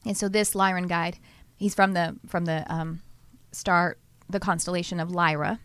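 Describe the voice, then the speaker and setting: A woman with a Texas accent hosting a podcast, talking casually and lively, often laughing and speeding up in bursts. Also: lowish voice, moderate pace, clear, resonant tone, no room sound. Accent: Texan. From Southern US. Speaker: podcast host and channeler. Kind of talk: casual conversational podcast chat.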